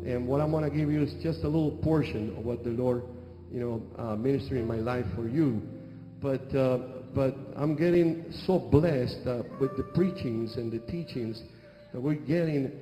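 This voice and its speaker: A middle-aged man from Argentina, a native Spanish speaker with an Argentine accent, preaching a sermon as a bishop. He talks into a handheld mic, telling humorous stories with halting restarts and many fillers. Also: mid-range voice, dark tone. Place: large church sanctuary on a stage. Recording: echoey church hall with music.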